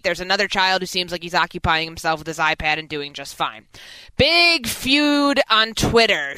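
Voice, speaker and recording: A woman's voice: fairly high pitch, bright, forceful tone, clear, resonant tone, dry recording, low-quality recording. A woman hosting sports talk radio in a conversational way, moving between promos and banter.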